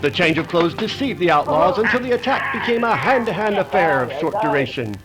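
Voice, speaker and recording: Man as high, dramatic 1930s radio announcer. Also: big pitch swings, a little fast, over loud music. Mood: rousing. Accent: American.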